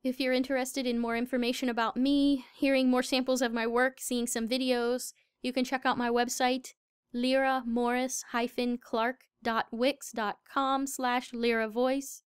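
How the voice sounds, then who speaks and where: A woman's voice: high-pitched, little pitch movement, normal speed, smooth, no echo, studio quality. A very young-sounding woman with an American accent, answering interview questions as a voice actor. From Southern United States.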